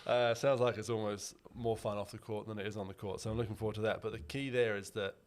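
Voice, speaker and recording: A man's voice: fairly low pitch, fairly even intonation, fast talker. A young man, co-hosting a casual sports podcast in an upbeat, chatty way and laughing easily. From New Zealand. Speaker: podcast co-host.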